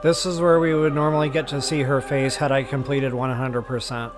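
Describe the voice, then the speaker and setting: A man's voice: medium pitch, flat delivery, brisk. Man chatting on stream over music. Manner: chatty, addresses viewers as guys and y'all. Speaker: game livestreamer. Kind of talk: casual livestream chat and game commentary. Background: game music.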